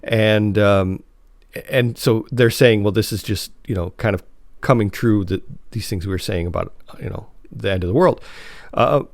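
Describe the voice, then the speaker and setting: A man's voice: lowish voice, creaky, no room sound. Man hosting a podcast, dry close sound. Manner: halting, repeats words and restarts often. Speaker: podcast host and editor. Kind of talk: casual podcast chat.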